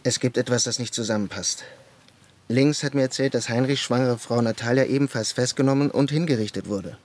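An actor in a German radio drama playing a suspicious young-republic-era army lieutenant, questioning in a terse, demanding way. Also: male voice, medium pitch, brisk, a little mellow, natural voice. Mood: doubtful, firm.

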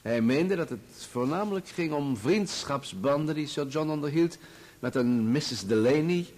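Husky, composed journalist witness. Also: male voice, on the high side, expressive, darkish tone. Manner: measured, formal, factual recounting. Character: male journalist testifying in court, composed, matter-of-fact. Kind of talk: radio drama acting.

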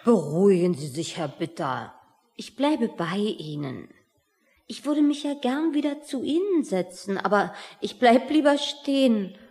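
An actress playing a timid, soft-hearted woman in a radio play, dramatic and earnest. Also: mid-range voice, very animated, measured pace, long reverb tail. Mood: soothing. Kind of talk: German radio play acting.

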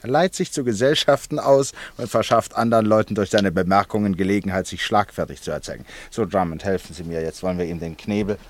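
A man's voice: fairly low pitch, fast, hardly any pauses, natural voice. A man acting a shrewd, playful amateur sleuth in a German radio play, explaining things in a teasing, theatrical way. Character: shrewd, playful amateur sleuth who loves telling stories.

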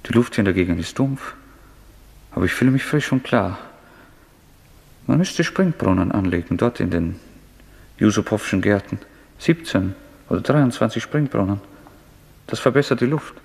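A man's voice: fairly low pitch, animated, normal speed, vocal fry, pauses often. An actor playing a brooding, feverishly resolved and unstable man, speaking intensely and introspectively in a German radio drama. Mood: eerie calm. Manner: intense, introspective, swinging from hushed to agitated.

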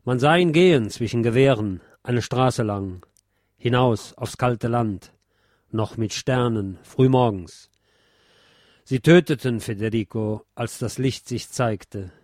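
A man giving a scripted literary talk and reciting poetry in a measured, deliberate, declamatory way, like a radio presenter. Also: medium pitch, a little mellow, dry recording, clean studio sound.

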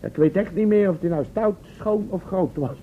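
Casting: man playing cheeky, high-pitched braggart adventurer for a radio serial, colloquial storyteller; tinny, hissy old recording. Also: moderate pace, natural voice. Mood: cheerful bragging. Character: breezy, boastful male time-travel test subject, unschooled. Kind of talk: radio play acting.

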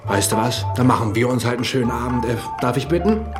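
An actor in a German radio play playing a blunt, prying friend who talks in a chatty, teasing, informal way. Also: male voice, medium pitch, animated, fast talker, over music, close to an everyday voice. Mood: tipsy, provocative.

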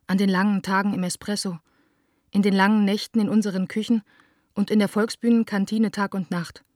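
A woman's voice: medium pitch, flat delivery, fairly quick, darkish tone, no room sound, studio quality, natural voice. An actress playing a young woman theatre director who reads her letters in a radio drama, reflective, plain-spoken and confiding. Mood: wistful, lost.

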